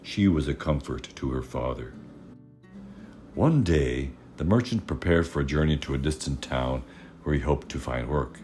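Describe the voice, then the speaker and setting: A man reading a fairy tale aloud as storyteller, measured and expressive in his scripted narration. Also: very deep voice, soft background music.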